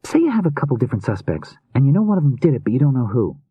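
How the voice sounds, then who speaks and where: A man's voice: medium pitch, big pitch swings, racing, breathy edge, no room sound, dull audio, voice pushed up. A man voicing an inquisitive, quirky young woman with slangy, eager, casual speech in an audiobook. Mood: curious, pressing. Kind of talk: audiobook character dialogue.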